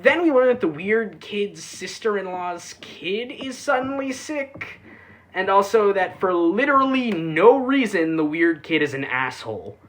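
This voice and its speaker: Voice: medium pitch, expressive, measured pace, fairly bright tone. A reviewer giving a casual, sarcastic recap, full of offhand asides and swearing. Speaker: anime reviewer.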